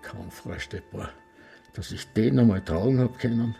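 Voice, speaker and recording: An actor playing a confused, reminiscing elderly man with an Austrian accent, in a halting radio drama monologue. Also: fairly low pitch, gravelly, mellow, breathy edge, faint music behind, exaggerated voice. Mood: wistful, confused. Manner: halting, ruminative.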